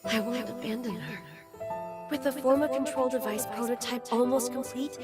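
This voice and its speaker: An actress playing a devoted, determined twin sister in a dramatic video game, speaking with earnest, tender expression. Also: female voice, a little high, fairly even intonation, normal speed, lightly acted. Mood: resolute. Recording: loud music under the voice.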